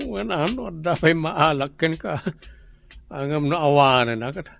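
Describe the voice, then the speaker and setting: A smug, vain schemer. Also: male voice, fairly high pitch, vocal fry, a bit bright, slight background noise, full character voice. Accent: Ilocano. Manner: smug, boastful. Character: vain scheming young man, boastful and greedy. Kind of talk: radio drama acting.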